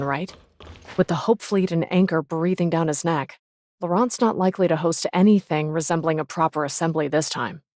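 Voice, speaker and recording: A woman plays a knowing space pirate woman from an anime game. Her voice is quite low and measured, stating things matter-of-factly, with clear noise and clicks behind her. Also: lightly acted. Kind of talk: scripted anime game dialogue.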